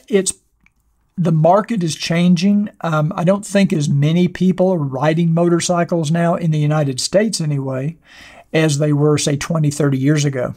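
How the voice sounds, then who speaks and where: An older man with a hoarse voice, hosting a motorcycle channel and answering viewer questions in a rambling, casual way, seated at a desk in a small studio with a clip-on mic. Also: on the high side, a little mellow. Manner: rambling, conversational, many fillers and restarts. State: hoarse, losing his voice. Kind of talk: casual talk to camera answering viewer comments. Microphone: wireless clip-on mic.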